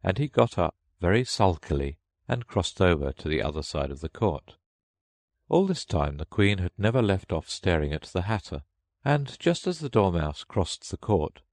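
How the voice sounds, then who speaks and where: A man reads a children's story as narrator, from a script. His voice is deep with widely varied intonation, recorded very dry. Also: a little mellow, no echo.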